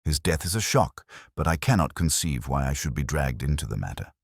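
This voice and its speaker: A man's voice: a bass voice, very animated, a little fast, vocal fry, breathy edge, dry recording, studio quality, exaggerated voice. A man voicing a proud young politician in a mystery audiobook, formal, firm and defensive. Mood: courteous, guarded. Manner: courteous, formal, faintly irritated.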